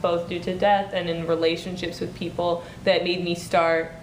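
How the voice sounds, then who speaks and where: Young woman from Michigan, slightly low voice, rambling and easygoing with many "likes"; roomy recording with faint rumble. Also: little pitch movement, moderate pace. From Michigan, United States. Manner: chatty, full of "like" and "um", thinking aloud. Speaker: playwright and director. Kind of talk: casual interview chat.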